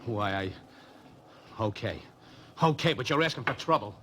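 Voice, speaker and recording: An actor playing a blustering, threatening young hoodlum, a gang boss's son, in a 1940s radio drama. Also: male voice, mid-range voice, animated, normal speed, pushed and bright. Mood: sullen, defensive. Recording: old radio recording, faint noise.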